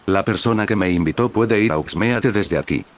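A man's voice: lowish voice, moderate pace, natural voice. A man reading the lines of a sly young witch who teases her brother. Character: sly young witch, the hero's teasing sister.

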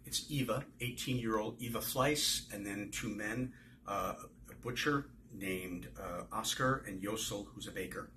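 Low-voiced older man reading in a noisy room. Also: unhurried, pushed and bright. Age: 60s. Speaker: author reading from his novel. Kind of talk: dramatic book reading. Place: small office indoors.

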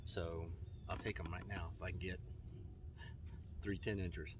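A man's voice: low voice, flat delivery, moderate pace. A man, chatting casually and rambling a bit in an easygoing, self-deprecating fishing vlog. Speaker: fishing vlogger. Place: inside a parked car; outdoors on a kayak on a lake. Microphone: phone camera at arm's length; camera mounted in front of a kayak. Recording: distant, dull mic with loud wind and water noise.

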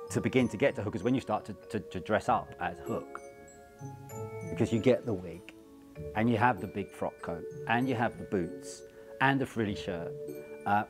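A man in his forties talking casually in an interview as a stage actor. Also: lowish voice. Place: indoor theatre auditorium. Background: music.

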